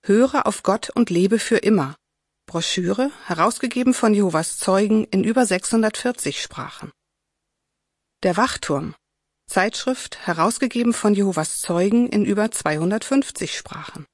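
A woman reading listings and short reader reports aloud in a clear, steady, announcer-like way. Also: medium pitch, normal speed, no echo, clean studio sound. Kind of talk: scripted reading.

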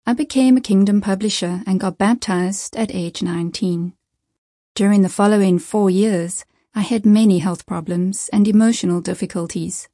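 A woman calmly reading a first-person life story aloud as scripted narration, in a measured, earnest way. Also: medium pitch, no echo, clean studio sound.

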